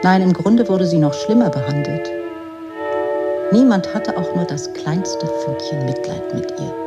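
A woman's voice: fairly low pitch, lively intonation, unhurried. A woman narrating a literary radio drama as a grave storyteller. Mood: grave, troubled. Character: grave female storyteller of a troubled girl's life. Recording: music under the voice.

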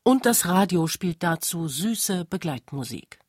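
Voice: medium pitch, lively intonation, a little slow, dry recording. A narrator reading a scripted radio documentary.

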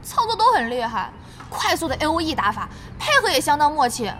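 An actress in a Chinese audio drama playing a brash young woman who leads a gaming squad, demanding and excitable. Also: high voice, a little fast, slight background noise, exaggerated voice. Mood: aggrieved.

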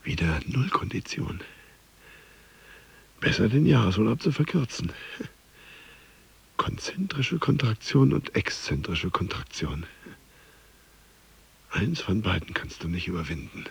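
An actor playing a glum gym-goer consoling himself in a radio drama, confiding and resigned, with a raspy, breathy, creaky voice. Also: male voice, fairly low pitch, big pitch swings, unhurried, long pauses, exaggerated voice. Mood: weary, resigned. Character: glum, self-consoling male gym-goer struggling with his weight. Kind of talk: radio drama interior monologue.

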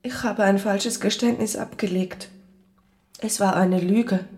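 A woman's voice: mid-range voice, steady tone, a little slow, darkish tone, close to an everyday voice. An actress in a German radio drama playing a pious, frightened young servant woman condemned though innocent, speaking with pleading emotion. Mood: anguished.

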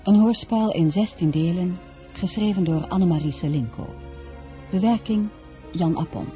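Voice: mid-range voice, moderate pace, mellow. An announcer formally reading the title and credits of a radio drama. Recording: dull old radio sound, faint music.

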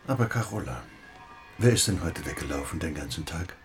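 An actor playing a middle-aged, bookish recluse in a radio play, speaking in a reflective, lyrical and measured way. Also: male voice, extremely low pitch, lively intonation, faint music behind. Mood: quiet, gentle. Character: wistful, gently philosophizing bookish recluse husband. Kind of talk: German radio play acting.

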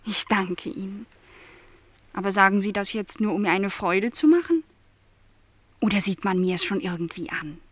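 A woman playing a young, lonely housemaid in a radio play, naive and earnest, speaking formal phrases that sometimes drop to a hush. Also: medium pitch, normal speed, long pauses, lightly acted. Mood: yearning. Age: young adult. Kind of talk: German radio play dialogue.

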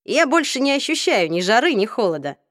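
A woman reading an audiobook, voicing a calm, wry teenage vampire boy in a lowered, easygoing voice. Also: fairly quick, no echo, studio quality.

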